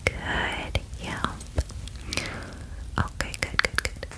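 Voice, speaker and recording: A woman voicing a friendly, chatty female dragon in a breathy ASMR whisper, gushing and reassuring like a best friend. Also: drawn-out words. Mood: soothing, attentive. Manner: gushing bestie talk, casual fillers, reassuring. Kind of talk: whispered ASMR roleplay, personal attention.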